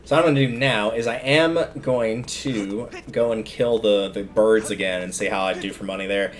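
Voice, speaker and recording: A man's voice: lowish voice, steady tone, normal speed, slight background noise. A man giving casual, rambling livestream commentary on a video game, often stopping to explain things.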